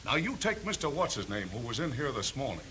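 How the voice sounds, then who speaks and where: A self-assured salesman from a 1930s training film. His mid-pitched male voice is bright and lively, full of emphatic slang. Faint noise runs under the old soundtrack. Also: brisk. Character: brisk, self-assured refrigerator salesman swapping sales tricks.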